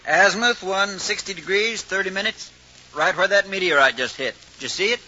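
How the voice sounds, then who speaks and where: An actor playing an alert forest fire lookout making a report in an old radio drama. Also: male voice, high voice, brisk, bright, forceful tone. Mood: urgent, businesslike. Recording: dull, noisy old radio drama transfer.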